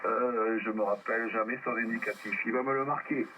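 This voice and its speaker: A man's voice: on the low side, steady tone, fairly quick. A man casually running an amateur radio exchange as net controller, spelling out call signs over the air. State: lingering cold, coughing. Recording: noisy, muffled, distant shortwave radio transmission.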